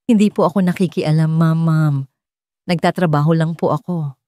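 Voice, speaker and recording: A woman's voice: low-pitched, fairly even intonation, normal speed, mellow, no room sound, studio quality, natural voice. Woman voicing a meek, nervous housemaid. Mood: nervous, pleading. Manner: deferential, nervous. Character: frightened, meek household maid hiding her nerves.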